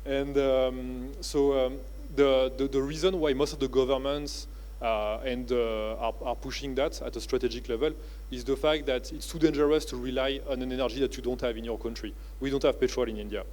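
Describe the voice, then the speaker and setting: Male keynote speaker, French accent, mid-pitched, halting delivery full of fillers, conference stage talk. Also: fairly even intonation, darkish tone. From France. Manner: halting, with many "uh" and "you know, like" fillers. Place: convention centre stage.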